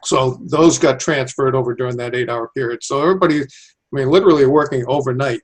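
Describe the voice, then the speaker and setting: A man's voice: medium pitch, fast. A man giving informal, step-by-step explanations as an engineer being interviewed. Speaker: civil engineer, airport designer being interviewed.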